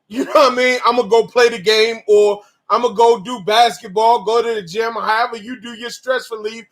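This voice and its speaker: A man of forty co-hosting a candid chat with his wife, talking in animated, slangy, profane bursts that sometimes rise to shouts. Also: very high voice, normal speed. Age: 40s. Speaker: podcast co-host.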